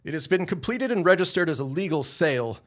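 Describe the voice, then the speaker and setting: A man playing a defiant, contemptuous grown son in a period audio drama, with a formal, measured and cutting delivery. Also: a little high, animated, lightly acted. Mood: firm, defiant.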